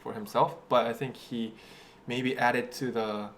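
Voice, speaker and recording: A man's voice: mid-range voice, fairly even intonation, measured pace, fairly bright tone, a little hiss or hum. Chatty young man. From Japan. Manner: chatty storyteller, many "like" fillers. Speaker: guest. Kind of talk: casual chat.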